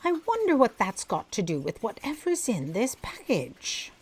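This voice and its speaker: A woman's voice: a little high, huge pitch swings, moderate pace, husky, heavily acted. A woman playing an impatient, imperious boss in a comic audio drama, curt, bossy and exasperated. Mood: commanding. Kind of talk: audio drama comedy acting.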